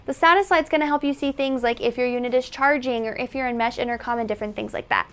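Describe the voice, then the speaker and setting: Fast, high-pitched woman giving a tutorial. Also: a bit bright, clean tone. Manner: instructional, step-by-step. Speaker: product presenter. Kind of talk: tutorial narration.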